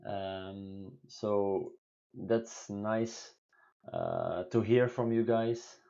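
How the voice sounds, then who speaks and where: Deep-voiced guy in his 30s chatting live from home, stops and restarts a lot, kinda muffled audio. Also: sing-song, vocal fry, warm tone. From Belgium. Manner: halting, many fillers and restarts, non-native English phrasing. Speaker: vlogger answering viewer questions on a live stream. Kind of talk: casual live Q&A talk. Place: small room indoors at home.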